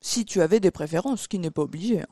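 Mellow-voiced female podcast co-host. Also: lowish voice, very fast, dry recording. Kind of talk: casual interview chat.

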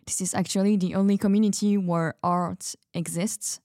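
A woman playing a dreamy, hesitant artist in a radio drama, telling her story in a halting, musing, naturalistic way. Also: mid-range voice, fairly even intonation, mellow, dry recording, studio quality, lightly acted. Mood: wondering, puzzled. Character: Emile, dreamy hesitant female artist from closed community.

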